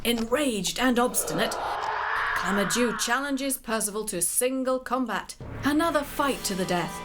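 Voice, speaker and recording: A woman narrating a medieval legend as a scripted story, with dramatic, literary, measured phrasing. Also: fairly high pitch, animated, loud music behind the voice.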